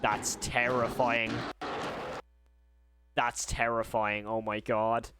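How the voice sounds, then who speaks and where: A man's voice: medium pitch, little pitch movement, takes it slowly, noisy background. A man giving casual reaction commentary, informal and exclamatory, often repeating himself. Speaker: host of horror reaction channel.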